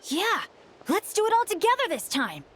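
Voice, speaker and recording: A woman's voice: very high voice, very animated, normal speed, a bit bright, a little hiss or hum, exaggerated voice. A woman playing an earnest, hopeful teenage boy adventurer in an anime-style video game, sincere and eager. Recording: music behind the voice.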